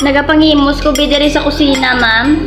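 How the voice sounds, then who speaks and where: A woman plays a young housemaid in a radio drama, put-upon and complaining. The voice is high, with a Filipino Hiligaynon accent, in an echoey room with music behind. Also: fairly even intonation, a little fast, lightly acted. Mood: meek. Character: put-upon young female housemaid, gossipy but deferential. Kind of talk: amateur radio drama acting.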